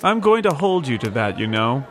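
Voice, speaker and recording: Man playing suave, flirtatious society gentleman. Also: medium pitch, very animated, moderate pace, crowd noise, background noise, close to an everyday voice. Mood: teasing, charming. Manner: genteel, teasing. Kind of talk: 1940s radio serial acting.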